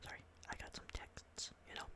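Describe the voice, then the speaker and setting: A young man whispers right up against the microphone, breathy and unvoiced throughout. He sounds tired but gets excitable, drifting into rambling asides and apologies between stretches of reading. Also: a little fast. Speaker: ASMR creator. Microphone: close microphone.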